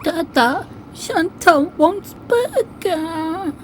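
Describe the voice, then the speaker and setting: Brief: a woman voicing a sobbing, whiny grown-up in baby talk, very high and shrill, slow drawn-out wails. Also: lively intonation, darkish tone, slight background noise, heavily acted. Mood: wailing tantrum. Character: grown woman throwing a toddler's crying tantrum.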